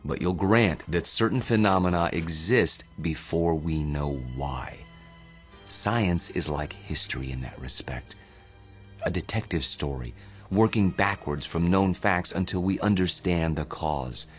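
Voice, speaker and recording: An actor playing a world-weary, grave and rueful immortal man in a radio drama, speaking in a measured, philosophical way. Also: low-pitched. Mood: reflective. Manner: measured, philosophical, deliberate delivery. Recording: radio drama with music underneath.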